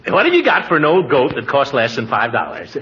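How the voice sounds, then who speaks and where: An actor playing a blustery, good-natured middle-aged uncle with a pompous, hemming manner in 1940s radio comedy style. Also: male voice, mid-range voice, wildly swooping pitch, a little fast. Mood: blustery, haggling. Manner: blustery, hesitant hemming, exclamatory. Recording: muffled, hissy 1940s radio recording.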